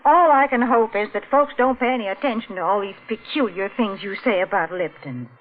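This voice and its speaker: A woman announcer giving a chatty, homespun sponsor pitch in 1940s radio style. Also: fairly quick, a little hiss or hum. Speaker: commercial announcer and host's foil. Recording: thin, narrow old radio recording.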